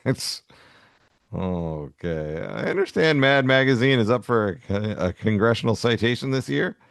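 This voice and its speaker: Easygoing low-voiced man, close dry sound. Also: animated, a little slow, creaky, no room sound. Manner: easygoing, chuckles often. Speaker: podcast co-host. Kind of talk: casual chat with reading aloud.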